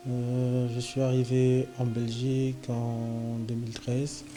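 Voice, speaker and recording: A man from Cameroon briefly introducing himself, speaking slowly and haltingly. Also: medium pitch, monotone, music in the background. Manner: slow and halting.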